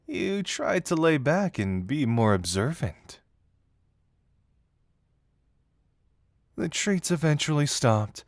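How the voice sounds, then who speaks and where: Casting: male actor as teasing young detective confidant for audio roleplay, animated intonation, amused conversational delivery, dry close sound. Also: medium pitch, no room sound. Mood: musing, playful. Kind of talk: intimate scripted audio roleplay addressed to the listener.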